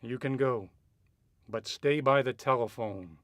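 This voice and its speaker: A man's voice: medium pitch, a little slow, dry recording, studio quality, lightly acted. A man playing a shrewd, cynical private investigator in a radio drama, both narrating and questioning in a noir style. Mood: probing, cool. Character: shrewd hard-boiled male private investigator, cynical and probing.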